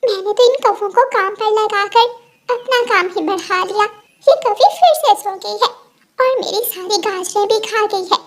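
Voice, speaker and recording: A woman's voice: extremely high pitch, unhurried. A woman voicing a hardworking, scolding female bird in a children's cartoon.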